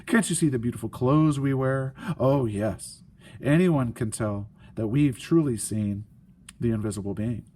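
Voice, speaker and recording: A man's voice: medium pitch, unhurried, dark tone, natural voice. A man voicing two proud, vain older sisters in a storybook tale, boastful and insistent. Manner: boastful, insistent, stammering when challenged.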